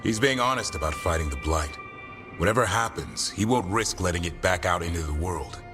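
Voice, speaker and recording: Deep-voiced, thoughtful adventurer. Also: male voice, expressive, fairly quick, over music. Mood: pensive. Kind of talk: naturalistic video game dialogue.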